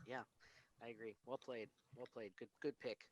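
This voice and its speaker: A man's voice: medium pitch, steady tone, normal speed, low-quality recording. A man chatting casually about films as a panelist on a podcast. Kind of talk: casual film discussion.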